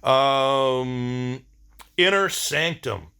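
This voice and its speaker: Guy just chatting away casually, medium pitch, enthusiastic, kinda slangy, rambles through his favorites. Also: extremely slow, vocal fry, pushed and bright, clear, resonant tone. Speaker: video diary host.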